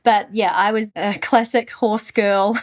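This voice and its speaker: A woman's voice: mid-range voice, fairly even intonation, slow. A woman from New Zealand with a New Zealand accent, talking casually and upbeat as an interview guest about her marketing business. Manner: chatty, upbeat, frequent fillers.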